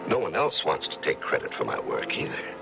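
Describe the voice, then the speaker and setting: An actor playing a coolly vain, secretly murderous judge and husband in an old-time radio drama, measured and urbane. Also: male voice, extremely low pitch, big pitch swings, creaky, over music, full character voice, rough recording. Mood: coldly self-satisfied. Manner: measured, urbane, condescending to his wife.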